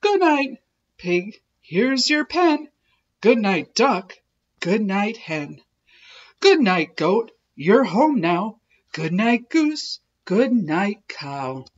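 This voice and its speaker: A woman's voice: mid-range voice, sing-song, extremely slow, dull audio, full character voice. A woman voicing a kindly, welcoming little truck in a reassuring storybook manner. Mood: gentle farewell.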